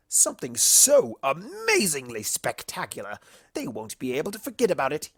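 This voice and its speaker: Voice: mid-range voice, husky, no room sound, studio quality, voice pushed up, exaggerated voice. The storyteller voicing a sly, mischievous male spirit of chaos, smug and theatrical, in a cartoon fan story.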